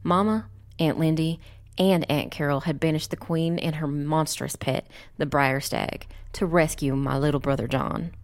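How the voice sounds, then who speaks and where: A woman portrays a young witch recounting her family's ordeal for a dark fantasy audiobook. Her voice sits low, and she tells the story in a grave, measured and dramatic manner. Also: fairly even intonation. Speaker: first-person narrator.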